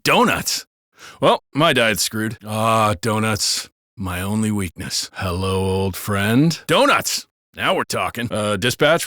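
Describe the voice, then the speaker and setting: An actor playing a brash, sardonic, foul-mouthed American cop in a video game, with slangy delivery. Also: male voice, on the high side, pushed and bright, dry recording, clean studio sound, full character voice. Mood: gleeful craving. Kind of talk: scripted video game character barks and banter.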